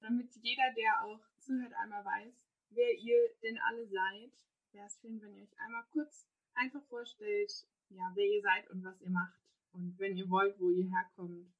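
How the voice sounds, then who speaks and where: A woman's voice: medium pitch, fairly even intonation, moderate pace, few pauses, breathy edge. A young woman hosting a podcast, asking questions in a friendly, informal way over a video call. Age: young adult. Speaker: podcast host. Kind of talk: casual online interview. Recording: muffled, phone-like line.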